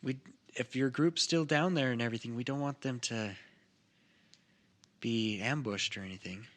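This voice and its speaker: A man's voice: medium pitch, normal speed, a bit bright. A man casually narrating a tabletop game as game master among friends.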